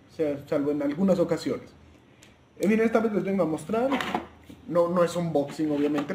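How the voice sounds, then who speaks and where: A man's voice: fairly high pitch, dark tone, slight background noise. A man giving a casual, informal product review outdoors, hesitant and often repeating or correcting himself as he presents. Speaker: presenter of a gadget review video.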